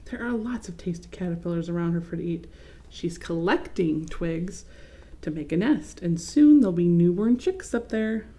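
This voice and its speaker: A woman voicing a cheerful father in a picture book who explains things gently. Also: fairly low pitch, animated, moderate pace, natural voice. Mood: explaining.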